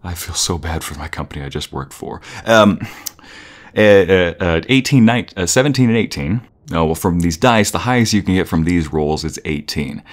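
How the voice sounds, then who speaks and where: Man playing a low-voiced, animated dorky boyfriend. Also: normal speed. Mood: enthusiastic, explaining. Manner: playful, teasing, enthusiastic, stammering and giggly. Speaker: audio roleplay performer. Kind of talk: intimate boyfriend roleplay, casual improvised-sounding chat.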